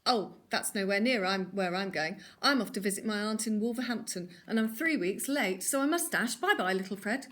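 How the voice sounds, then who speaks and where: Storybook wolf, young and bumbling, squeaky when scared, voiced by a woman. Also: moderate pace, few pauses, a bit bright, natural voice. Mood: fake innocent.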